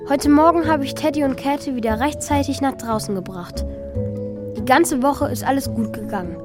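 A woman playing a thoughtful child who narrates their own story in a scripted audio drama. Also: sits high.

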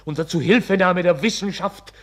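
A weary author-chronicler in a radio drama, in a fairly high male voice. He is solemn and oratorical, resigned yet exasperated, as if answering to his conscience. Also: fairly quick, a bit bright. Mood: bitter irony. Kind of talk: radio play acting, narration turning to argument.

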